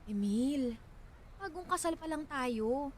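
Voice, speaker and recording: A woman's voice: high-pitched, animated, slow pace, slight background noise. A woman playing a timid, worried newlywed wife in a radio drama, pleading nervously and hesitantly. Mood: pleading worry.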